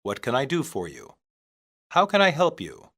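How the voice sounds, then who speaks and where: A man reading scripted English practice phrases for learners, deliberately and in separated phrases. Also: medium pitch, huge pitch swings, fairly bright tone. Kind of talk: scripted language-lesson reading.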